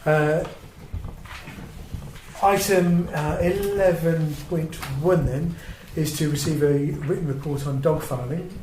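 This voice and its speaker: Higher-voiced English man chairing, echoey room. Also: steady tone, slow, mellow. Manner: polite, procedural, hesitant. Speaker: council meeting chair. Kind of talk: formal meeting procedure. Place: indoor parish council meeting room. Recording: echoey meeting room, clear background noise.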